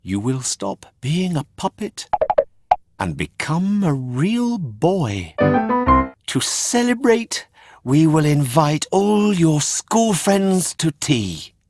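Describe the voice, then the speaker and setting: Man voicing a gentle, higher-pitched motherly fairy. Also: very animated, slow, dry recording, soft background music, heavily acted. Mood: kindly.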